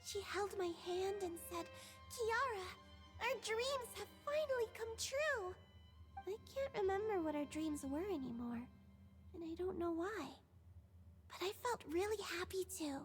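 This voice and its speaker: A woman's voice: very high voice, expressive, a little slow, music in the background. A woman voicing a sweet, forgetful, childlike little creature in a video game, with innocent, simple phrasing. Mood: dreamy, puzzled. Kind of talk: scripted video game dialogue.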